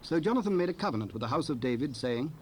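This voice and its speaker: A man's voice: a little high, lively intonation, rapid-fire, slight background noise. A man narrating scripture from a script in a solemn, formal storytelling style.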